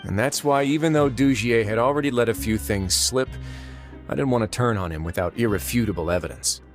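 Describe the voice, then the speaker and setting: An actor playing a calm, shrewd male duke in a video game, speaking in a measured, deliberate way with dry humor. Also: mid-range voice, faint music behind, close to an everyday voice.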